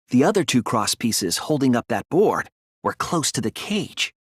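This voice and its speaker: Teen boy detective from a video game, earnest n careful, bit husky, pitch moves around a lot. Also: no room sound, clean studio sound. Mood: analytical. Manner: hesitant at times, then insistent and explanatory. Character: earnest, careful teenage boy detective reasoning through murder clues. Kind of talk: English video game dub, deductive dialogue.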